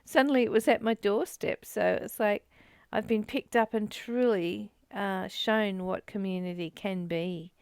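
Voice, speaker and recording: A woman's voice: medium pitch, fairly even intonation, unhurried, smooth, dry recording, clean studio sound. A woman in a reflective spiritual discussion, speaking in a personal, conversational way to a partner. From Australia.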